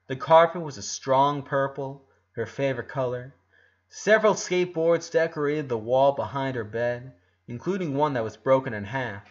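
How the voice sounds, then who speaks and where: A man reading a story aloud as an expressive narrator. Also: mid-range voice, normal speed, muffled recording. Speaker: fan fiction narrator.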